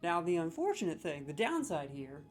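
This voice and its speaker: A man's voice: fairly high pitch, very animated, moderate pace. A man hosting a comedic video, ranting sarcastically with mock outrage as he scores game voice lines.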